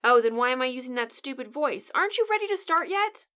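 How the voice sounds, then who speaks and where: Woman acting a bossy sarcastic lady survivor radio host, high sharp voice, dry and exasperated, comedy audio drama. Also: lively intonation, fast. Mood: irritated.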